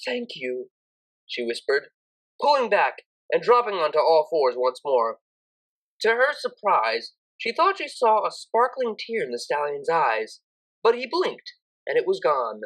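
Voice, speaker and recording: A woman reading a scripted story aloud as an expressive audiobook narrator. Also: low voice, measured pace, poor audio.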